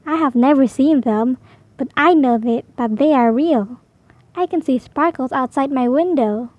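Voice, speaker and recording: High-pitched woman reading a children's storybook. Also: measured pace, no room sound, highs cut off. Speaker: storybook reader. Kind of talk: expressive children's bedtime read-aloud.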